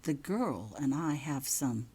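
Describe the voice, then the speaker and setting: A woman voicing a coldly controlled, menacing male headmaster in a story, speaking softly and slowly, near a whisper, with weighted pauses. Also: very deep voice, lively intonation, breathy edge, exaggerated voice. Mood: quietly menacing. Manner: slow, deliberate, with weighted pauses.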